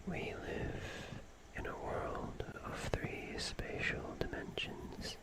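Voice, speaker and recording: An ASMR streamer whispering a musing monologue in halting, drawn-out phrases.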